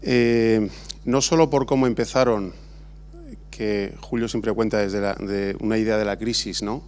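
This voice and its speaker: A man's voice: fairly low pitch, steady tone, measured pace, a little mellow, a little hiss or hum. Man, middle-aged, from Spain, architect, informal award speech, rambling with fillers. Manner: rambling, many restarts and fillers. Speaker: architect giving an award acceptance talk. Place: large exhibition hall.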